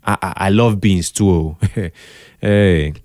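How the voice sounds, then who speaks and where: A Nigerian man talking casually as an interview guest, expressive, slipping into Pidgin and repeating phrases for emphasis. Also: low voice, slow pace, no echo, studio quality. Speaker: podcast guest, radio drama producer.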